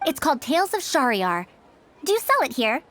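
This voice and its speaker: A woman's voice: high-pitched, very animated, fairly quick, a bit bright, a little hiss or hum. A woman playing a prim, youthful-sounding old female scholar in an anime-style game, speaking in a formal, pedantic way. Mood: earnest. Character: bookish, prim female scholar over a hundred years old, youthful and opinionated.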